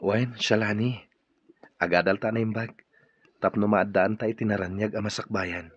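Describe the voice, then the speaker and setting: An actor playing a tender, devoted young student suitor in an Ilocano radio drama, soft and reassuring. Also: male voice, lowish voice, steady tone, moderate pace, breathy edge, dry recording. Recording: dull lo-fi sound with music.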